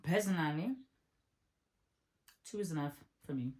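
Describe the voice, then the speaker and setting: Low-voiced woman vlogger. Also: animated, a little slow, a little mellow. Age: 30s. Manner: chatty, repeats herself for emphasis. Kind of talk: casual Q&A answering viewer questions.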